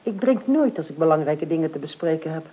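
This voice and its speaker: An actress playing a prim, formal older aunt in a radio play, dry and sharp-tongued. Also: female voice, low-pitched, expressive, fast, breathy edge. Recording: tinny, band-limited old radio recording.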